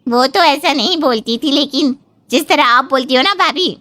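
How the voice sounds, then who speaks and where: A woman voicing a cheeky, quick-tempered housemaid who talks back in a comic family story. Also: sits high, sharp tone, no room sound. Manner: cheeky, confrontational.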